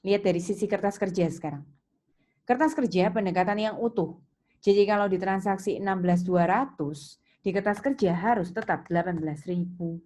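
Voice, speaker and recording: A woman's voice: medium pitch, a little fast, a little mellow. A woman with an Indonesian accent teaching an accounting lesson step by step, posing questions and answering them herself in an instructive manner. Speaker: accounting lecturer. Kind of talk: recorded lesson, step-by-step explanation. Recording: clearly noisy, with keyboard clicks.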